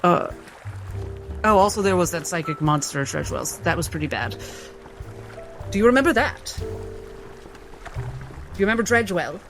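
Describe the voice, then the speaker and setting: A player voicing an eccentric, apologetic psychiatrist with psychic powers, halting and fussily formal, in improvised tabletop roleplay. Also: mid-range voice, expressive, a bit bright, soft background music. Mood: apologetic, rambling.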